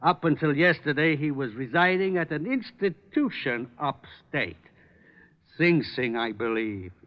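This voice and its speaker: An old skid-row drunk and informant in a radio crime drama. He speaks in grand, wordy, mock-courtly phrases, pitched a little high. The old recording is somewhat dull and muffled. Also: lively intonation, a little slow. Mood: pompous, musing. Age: older. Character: florid old deadbeat drunk informant at a dive. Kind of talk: 1940s-50s radio detective acting.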